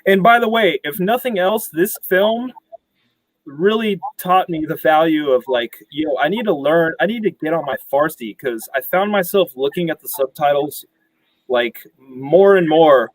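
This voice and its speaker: A man's voice: fairly high pitch, measured pace, no room sound, rough recording. Chill young guy on a video call, slangy, lots of ums, dry sound. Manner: relaxed, hesitant with fillers, slangy. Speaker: guest. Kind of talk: casual conversation over video call.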